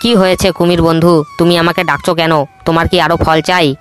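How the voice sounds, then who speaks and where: Cartoon monkey, male, young, helpful, high and fast; man doing the voice. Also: level tone, fairly bright tone, lightly acted. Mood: eager. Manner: quick, high and friendly. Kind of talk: cartoon voice acting.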